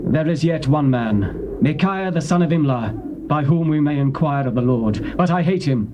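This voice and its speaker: A man's voice: fairly high pitch, animated, normal speed, muffled recording, natural voice. An actor playing an imperious, resentful king, commanding and declamatory in a dramatized Bible reading. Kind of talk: dramatized Bible reading, classical stage acting. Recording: old cassette with faint noise.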